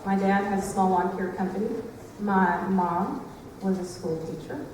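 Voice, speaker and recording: Confident woman legislator giving a campaign speech, brisk and proud, echoey hall, mic way off. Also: medium pitch, little pitch movement, darkish tone, distant mic, slight background noise. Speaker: state legislator, candidate.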